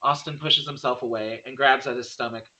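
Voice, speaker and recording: A man's voice: medium pitch, steady tone, brisk, sharp tone, low-quality recording. A man narrating stage directions from a script at a table read, reading plainly and occasionally stumbling over words.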